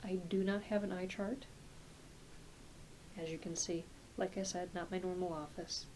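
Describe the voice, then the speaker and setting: A woman playing a gentle, reassuring, slightly scattered doctor in an ASMR medical roleplay, speaking softly and haltingly, often trailing into a near-whisper. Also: on the low side, fairly even intonation, natural voice. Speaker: ASMR performer. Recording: close home recording with clear hiss.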